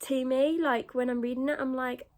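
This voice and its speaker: A woman's voice: high-pitched, steady tone, moderate pace. A British woman chatting casually in a rambling book vlog, groggy and sniffly with a cold. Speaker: book vlogger.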